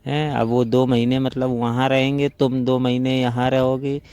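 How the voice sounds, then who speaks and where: A mellow, dry-sounding man acting as a flirtatious younger brother-in-law in a phone-call drama. He chats casually and rambles like a gossip, at an ordinary male pitch. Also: level tone, moderate pace, clean tone, no echo, natural voice. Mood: concerned, chiding. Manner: conversational, gossipy, rambling storytelling. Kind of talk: staged phone-call role-play, casual chat.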